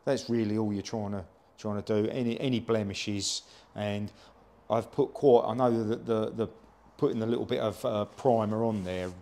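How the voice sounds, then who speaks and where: A man in casual chat as a co-host and car body repairer, explaining things in a plain, halting way. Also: lowish voice, fairly even intonation, dark tone.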